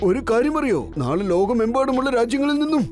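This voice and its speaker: A man's voice: very high-pitched, lively intonation, quick pace, a little mellow. A man voicing a silly, self-important, whiny male mayor in a children's cartoon, given to exclamations. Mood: gleeful. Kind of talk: children's cartoon dubbing in Malayalam. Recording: music behind the voice.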